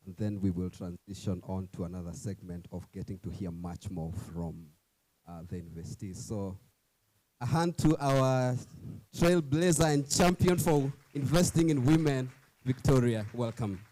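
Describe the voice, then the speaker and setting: A man's voice: lowish voice, warm tone, no echo, low-quality recording. Man emceeing a conference. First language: not English. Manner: chatty, with fillers, drawn-out introductions. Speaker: event moderator. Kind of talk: emcee introductions.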